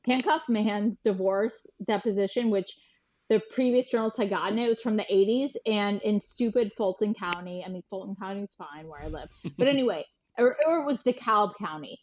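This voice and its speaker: An American woman chatting informally as an interview guest, animated and peppering her talk with "like" and quoted dialogue. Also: mid-range voice, moderate pace, a little hiss or hum, rough recording. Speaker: podcast guest, journalist and historian. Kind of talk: casual interview chat.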